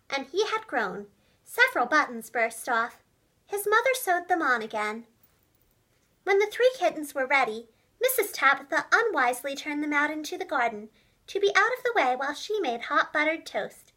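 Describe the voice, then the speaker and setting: A woman telling a children's storybook tale expressively and clearly, as if to young children. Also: high voice, sing-song, moderate pace, pushed and bright. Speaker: storyteller, preschool teacher and voice actress. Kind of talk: animated children's storybook narration.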